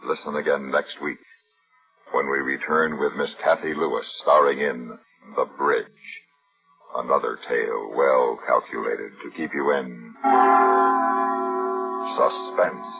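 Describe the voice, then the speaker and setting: Very deep, gravelly male radio announcer. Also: fairly even intonation, unhurried, pauses often. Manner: formal, dramatic. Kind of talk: 1950s radio announcing, scripted. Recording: dull vintage broadcast, prominent music behind.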